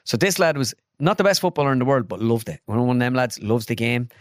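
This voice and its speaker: Fast-talking Irish man, storytelling. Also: mid-range voice, no room sound, studio quality. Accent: Irish Midlands. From Ireland. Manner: animated, jumps into voices, lots of filler "like". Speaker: guest impressionist. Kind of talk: casual storytelling.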